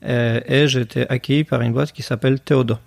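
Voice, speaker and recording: A man's voice: medium pitch, steady tone, brisk, creaky, dry recording. A man, a podcast guest talking casually and hesitantly with many fillers. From Poland. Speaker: guest, tech company cofounder.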